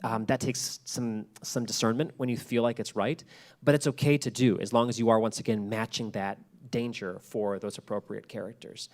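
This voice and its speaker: A man's voice: lowish voice, steady tone, normal speed. A man speaking informally on a panel about his craft, explaining things in an encouraging, hesitant way as he thinks aloud. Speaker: guest speaker, podcast creator. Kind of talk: informal panel talk on storytelling. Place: live library event.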